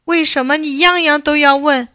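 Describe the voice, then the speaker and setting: A woman's voice: high voice, fairly even intonation, moderate pace, pushed and bright, no echo, studio quality, pitched above the performer's own voice. A woman voicing a hot-tempered, sulky little boy in a children's story, in an emphatic childlike manner. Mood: indignant, sulky.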